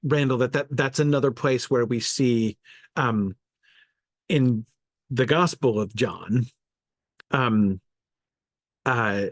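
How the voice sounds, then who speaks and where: A man's voice: mid-range voice, takes it slowly, pauses often, dry recording. A man leading an informal study session as a teacher, thinking aloud, often correcting himself and pressing his points with emphasis. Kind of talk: informal lecture with discussion of viewer comments.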